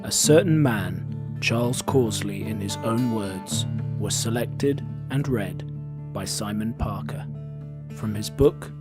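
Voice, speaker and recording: A man's voice: fairly low pitch, slow. A man reading scripted credits and title announcements for an audio production. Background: guitar music.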